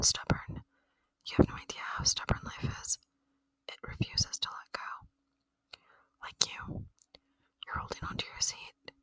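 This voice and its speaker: An ASMR performer voicing a gentle, lonely ancient alien in a breathy whisper, speaking tenderly and reflectively in a roleplay. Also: no room sound, lightly acted, poor audio. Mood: tender, wistful.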